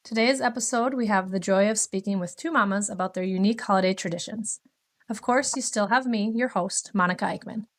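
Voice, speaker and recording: A woman, speaking as a podcast host introducing and interviewing guests. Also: mid-range voice.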